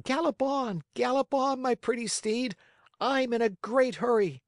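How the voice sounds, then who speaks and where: A storyteller voicing an emotional young wooden puppet boy in a high, childlike, pleading voice. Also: slow, a little mellow, no room sound, studio quality. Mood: eager. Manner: emotional, wailing, eager.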